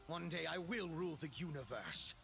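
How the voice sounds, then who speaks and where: A man's voice: fairly high pitch, lively intonation, normal speed, gravelly. A man voicing a cocky, power-hungry young villain in a video game, smug, dramatic and teasing. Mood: grandiose. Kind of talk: video game character voice lines. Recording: game music behind the voice.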